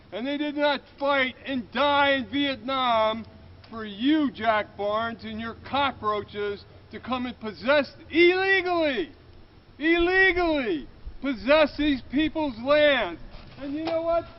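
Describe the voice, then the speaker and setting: A man's voice: very high-pitched, lo-fi sound. A veteran man speaks in an indignant, emphatic way. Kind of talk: impassioned statement.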